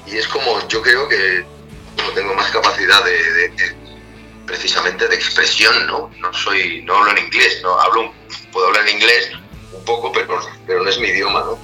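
Deep-voiced Spanish rock singer with a rough voice. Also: male voice, steady tone, normal speed, sharp tone, soft background music, rough recording. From Spain. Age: middle-aged. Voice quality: rough, cracked voice. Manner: rambling, many restarts and tag questions. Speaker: rock singer interviewed as guest. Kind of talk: casual interview talk.

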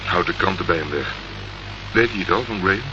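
An actor playing a terse, dogged private detective in a Dutch radio play, asking clipped, probing questions. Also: male voice, low-pitched, brisk, close to an everyday voice. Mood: matter-of-fact. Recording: noisy, muffled old radio recording.

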